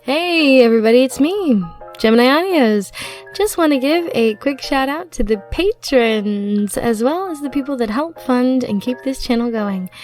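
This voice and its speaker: High-pitched, chatty woman channel host. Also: lively intonation, moderate pace, darkish tone, soft background music. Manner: chatty, upbeat. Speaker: channel host, audio roleplay performer. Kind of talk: casual channel outro addressed to listeners.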